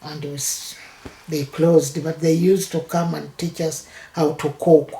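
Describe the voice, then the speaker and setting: An older woman from Uganda with a Ugandan accent, telling her life story in an interview and speaking in halting phrases with many restarts. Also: low voice, little pitch movement, dark tone, echoey room. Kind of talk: oral history interview.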